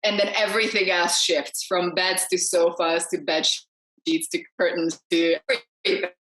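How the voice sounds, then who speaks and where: A woman's voice: on the low side, flat delivery, normal speed, a bit bright. A woman explaining things in casual conversation as an assistant director, emphatic and repeating short phrases to make her point. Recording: roomy, echoey sound.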